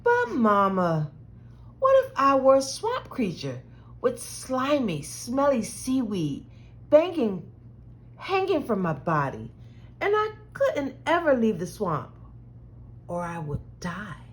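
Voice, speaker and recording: A woman's voice: fairly high pitch, wildly swooping pitch, slow pace, exaggerated voice. A woman voicing an anxious, imaginative small child in a picture book, pleading with Mama in a dramatic, wide-eyed way. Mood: dramatic worry.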